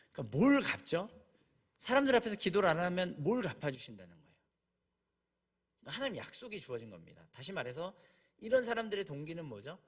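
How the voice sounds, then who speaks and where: A man preaching a sermon, emphatic, slowing down on key phrases and asking rhetorical questions. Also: high voice, slightly rough. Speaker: preacher, junior pastor.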